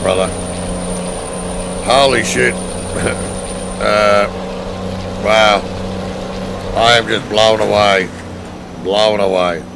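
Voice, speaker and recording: A middle-aged Australian man on a gaming stream, slow and gravelly, his voice going hoarse. He talks into a headset, excitably thanking viewers one by one. The audio carries a clear layer of background noise. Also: on the low side. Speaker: livestreamer. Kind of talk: live game stream chat. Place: indoor room at a desk.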